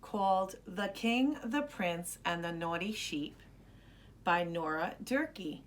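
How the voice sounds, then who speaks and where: Slightly low-voiced woman introducing a story. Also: animated, takes it slowly. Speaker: presenter.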